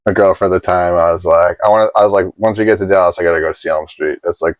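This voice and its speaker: Low-voiced man rambling casually. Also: steady tone, fast, no echo, highs cut off. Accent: faint Boston. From Boston. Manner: rambling, filler-heavy, lots of "like". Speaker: guest tattoo artist. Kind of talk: casual storytelling interview.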